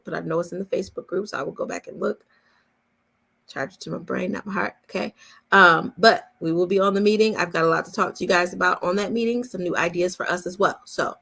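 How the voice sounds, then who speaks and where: A woman speaking informally and directly to a group as a coach hosting an online chat, with a creaky voice. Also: fairly low pitch, fairly bright tone. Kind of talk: casual live chat with attendees.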